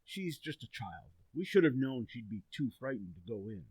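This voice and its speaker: A husky suburban dad, played by a man in an old-time radio comedy, stern yet forgiving. His pitch rises and falls expressively, and the sound is dry. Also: very animated, normal speed, darkish tone, no room sound. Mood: apologetic, proud. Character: Mr. Kilmer, stern but forgiving suburban father.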